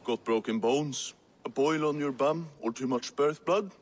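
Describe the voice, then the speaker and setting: A man's voice: medium pitch, measured pace, lightly acted. A man playing a blunt, menacing, scornful medieval craftsman in a video game cutscene. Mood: coarse, boastful. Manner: blunt, coarse. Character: gruff, foul-mouthed male medieval craftsman, menacing and scornful. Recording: long hollow reverb.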